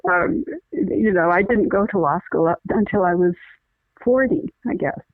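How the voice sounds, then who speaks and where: A woman's voice: lowish voice, lively intonation, normal speed, no room sound. A middle-aged woman, a lawyer and guest, explaining things earnestly in a conversational interview with frequent restarts. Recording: tinny phone-line sound, dry.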